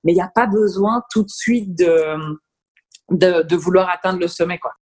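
A woman's voice: fairly low pitch, moderate pace, slightly rough. A woman, a business founder talking enthusiastically as a podcast guest. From Belgium. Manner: lively, enthusiastic, talks through ideas with fillers. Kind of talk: casual interview chat.

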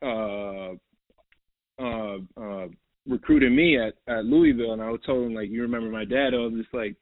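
A man's voice: mid-range voice, normal speed, creaky, dry recording. A young man from New York, a basketball guest in a casual interview, rambling and repeating himself with lots of "like" and "bro". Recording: tinny phone-like line, dry.

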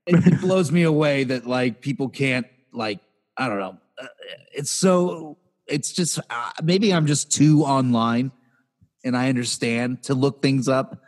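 A young man co-hosting a casual podcast, ranting in an exasperated, animated way with lots of restarts. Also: medium pitch, a little slow. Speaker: podcast co-host. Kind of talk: casual chat.

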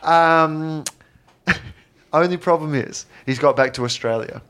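Lively man telling a comic anecdote. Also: fairly high pitch, a little slow, clean tone. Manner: animated anecdote telling, hesitant "uh"s. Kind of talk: casual chat, comic storytelling.

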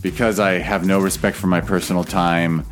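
A man's voice: low voice, steady tone, normal speed, faint music behind. A man in his late thirties hosting a podcast, talking in a candid, joking way and swearing casually. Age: late 30s. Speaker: podcast host. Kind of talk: casual chat.

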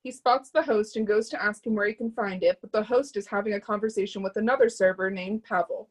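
A woman's voice: mid-range voice, steady tone, fast talker. A woman reading stage directions as scripted narration for a readers theatre drama.

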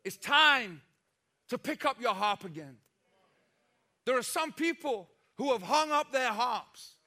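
Male preacher, very high voice, slow deliberate delivery, emphatic repetitions, bursts of shouting. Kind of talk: impassioned sermon.

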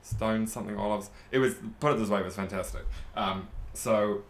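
A man's voice: fairly low pitch, steady tone, a little fast. A man hosting a casual podcast, joking, rambling and laughing a lot. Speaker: podcast host. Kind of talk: casual chat. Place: home at night.

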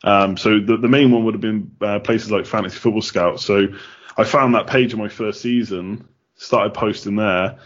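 A man's voice: fairly low pitch, fairly even intonation, normal speed, lo-fi sound. A man from the United Kingdom answering casually as a podcast guest, chatty with many ums.

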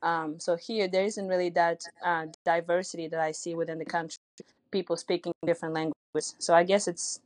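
A woman's voice: on the low side, flat delivery, fairly quick, no room sound. A young woman, a tennis coach whose first language is Russian, answering interview questions in a thoughtful way with many fillers and restarts. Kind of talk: podcast interview guest.

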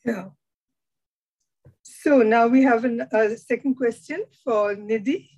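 A woman's voice: fairly high pitch, takes it slowly, warm tone, rough recording. A woman moderating an online panel, reading out questions and adding brief asides between them.

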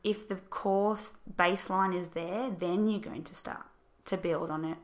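A woman teacher, speaking thoughtfully and patiently in a casual discussion, her voice a little croaky. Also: on the low side, fairly even intonation, unhurried.

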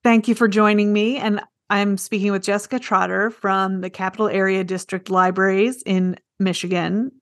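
A woman speaks as a podcast host in conversation with a guest. Her pitch sits in the middle range for a woman, and she talks in an informal interview style. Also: steady tone, normal speed, hardly any pauses, no room sound, studio quality, faint music behind.

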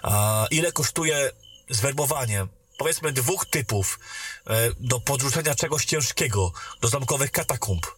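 A man playing a persistent, wry royal captain with a husky voice in a fantasy audio drama. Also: medium pitch, lively intonation, a little slow, a bit bright, slight background noise. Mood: insistent.

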